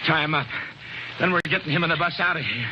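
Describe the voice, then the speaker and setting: A muffled vintage radio broadcast, thick with noise. An actor plays a self-assured, dogged male passenger in a thriller, speaking in a somewhat high voice. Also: quick pace, lightly acted. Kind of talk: old-time radio thriller acting.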